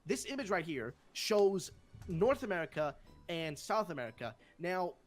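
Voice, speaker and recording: A man's voice: high voice, big pitch swings, moderate pace, soft background music. A young man with a Swedish accent, hosting a casual discussion and rambling with many fillers and restarts. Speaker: host.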